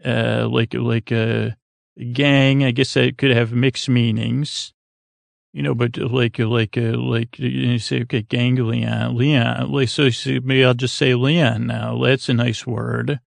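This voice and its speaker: Creaky, meandering American man, sleep podcast host. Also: mid-range voice, little pitch movement, dry recording. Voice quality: creaky, lulling tone. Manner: meandering, halting, stumbling over words with many fillers. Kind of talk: rambling bedtime monologue meant to lull listeners to sleep.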